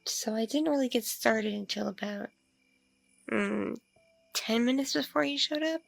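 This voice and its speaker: A woman acting as a mature vampire hostess in an ASMR roleplay, with heavy vocal fry running through a fairly high voice. She is soft-spoken and flirtatious, drifting along slowly with absent-minded murmurs and hesitant pauses. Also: no echo, heavily acted. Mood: friendly, chatty. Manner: hesitant, murmuring, lingering pauses with ums and mms. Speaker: roleplay voice performer. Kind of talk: ASMR roleplay, one-sided intimate conversation.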